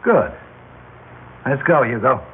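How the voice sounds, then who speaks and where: An actor in a classic radio drama playing a cold-blooded, insistent gangster who coolly threatens people. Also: male voice, mid-range voice, animated, slow, breathy edge, heavily acted. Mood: quietly menacing. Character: menacing, cold-blooded indebted gangster, coercing others. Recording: tinny, noisy old radio broadcast.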